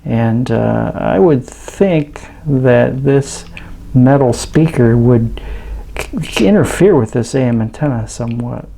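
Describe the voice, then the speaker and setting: Mellow, creaky-voiced man thinking aloud. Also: mid-range voice, slow, a little hiss or hum, rough recording. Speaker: host of an electronics teardown channel. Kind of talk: casual hands-on demonstration commentary.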